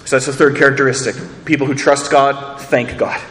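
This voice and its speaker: A man's voice: mid-range voice, level tone, fairly quick, fairly bright tone, big-room sound. A man preaching a sermon, earnest, asking rhetorical questions and repeating words as he builds each point. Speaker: pastor.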